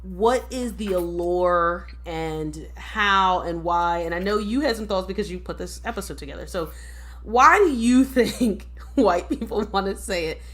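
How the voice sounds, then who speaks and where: A woman hosting a casual discussion, an animated storyteller who laughs often and stammers through restarts. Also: fairly low pitch, a little slow. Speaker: podcast host.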